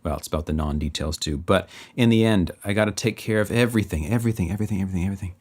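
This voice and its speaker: A man's voice: low-pitched, racing, darkish tone. A man from British Columbia with a light West Coast Canadian accent, a music producer casually thinking aloud and muttering self-critical notes while mixing a track. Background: faint music playback.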